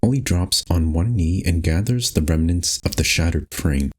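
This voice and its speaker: A man's voice: low voice, normal speed, a little mellow, husky. A man narrating a visual novel game aloud in a scripted storytelling style. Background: faint music.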